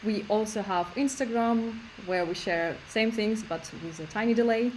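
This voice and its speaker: A woman organizer introducing an online meetup, speaking briskly with halting "uh" fillers. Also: fairly high pitch, slight background noise, low-quality recording. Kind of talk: event introduction.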